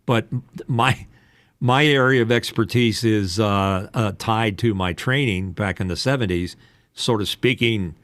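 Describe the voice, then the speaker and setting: Older guy late 60s, low voice, dry close recording, casual coaching chat, kinda rambly. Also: a little slow, fairly bright tone, no echo. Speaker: voice-over announcer and podcast host.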